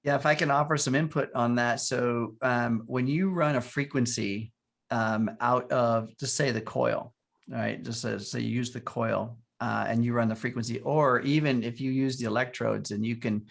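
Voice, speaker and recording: A man calmly explaining how to use a wellness device on a group video call. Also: medium pitch, moderate pace, vocal fry. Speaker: guest expert.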